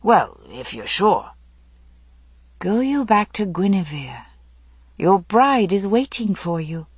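A woman voicing an anguished, weary young king with a tender, halting manner in a storybook reading. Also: mid-range voice, sing-song, darkish tone, slightly rough, dry recording, full character voice. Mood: subdued. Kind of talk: dramatic audiobook storytelling.

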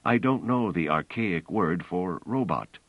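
A man's voice: deep voice, lively intonation, measured pace, dry recording. A man reading aloud, voicing a mild, hesitant scholarly man in a manner close to his own. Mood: anxious. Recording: dull, dry studio audio.

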